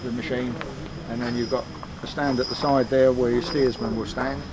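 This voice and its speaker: A man's voice: mid-range voice, flat delivery, normal speed. An older man casually explaining his restoration work, recorded outdoors in an open yard. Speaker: steam engine restorer. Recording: loud outdoor yard and vehicle noise.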